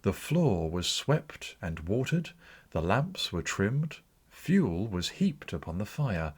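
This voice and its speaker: A man narrating classic fiction for an audiobook in an expressive, theatrical storytelling manner. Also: low voice, husky.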